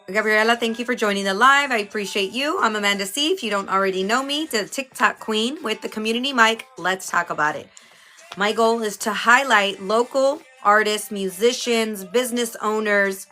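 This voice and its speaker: A chatty woman hosts a casual livestream, her voice bright and forward and a bit stuffy from a cold. She greets viewers one by one and keeps plugging the show. Faint music sits behind her. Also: medium pitch, moderate pace. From Houston, Texas. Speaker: livestream host.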